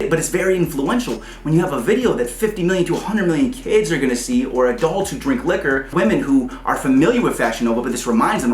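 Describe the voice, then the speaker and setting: A man giving casual music business advice, fast and animated as he breaks down examples. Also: fairly high pitch, roomy sound, slight background noise. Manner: fast, animated, pointing things out. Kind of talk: casual advice talk to the camera.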